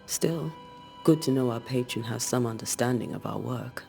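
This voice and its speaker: A man's voice: medium pitch, normal speed, vocal fry, dark tone, faint music behind, lightly acted. An actor playing an earnest, courteous ghost hunter of colonial times in a video game, direct in his questioning. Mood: inquiring. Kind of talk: dramatic video game acting.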